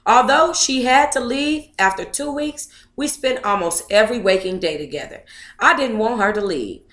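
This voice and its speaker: A woman's voice: on the high side, lively intonation, normal speed, a bit bright, reverberant. A woman in her thirties reading aloud casually and breaking in with blunt, sassy, profane commentary. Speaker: book club host reading aloud and commenting.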